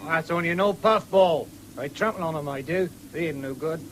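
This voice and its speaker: An actor playing a young, plain-spoken farmer's son in a radio drama, with a rural English accent and a blunt, homely manner. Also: male voice, a little high, brisk, close to an everyday voice. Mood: cheerful, plain. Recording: faintly noisy 1970s radio recording.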